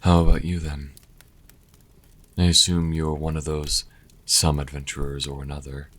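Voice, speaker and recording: A man's voice: rumbling low, flat delivery, a bit bright. A man playing a sassy vampire and reluctant caretaker in an audio roleplay, speaking dryly and sardonically to the listener. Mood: wry, matter-of-fact. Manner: dry, sardonic, unhurried. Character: sassy male vampire, former thrall, grudging caretaker.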